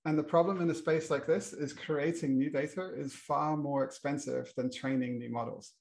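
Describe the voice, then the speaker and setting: A man's voice: a little high, steady tone, normal speed, vocal fry, darkish tone. A middle-aged British man moderating a panel, introducing the topic with many fillers and restarts. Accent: British English. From Britain.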